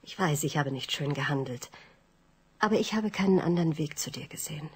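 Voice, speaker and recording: An actress playing a young heiress confessing her love, speaking softly and often whispering, in a radio drama. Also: low voice, lively intonation, a little fast, slightly rough, exaggerated voice. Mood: tender. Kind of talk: 1980s radio drama acting.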